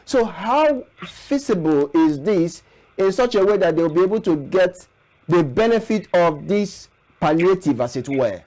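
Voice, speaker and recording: A Nigerian man hosting a broadcast interview, putting questions in measured, formal and wordy phrasing. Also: high-pitched, lively intonation, a little mellow, low-quality recording. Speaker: interviewer, show host.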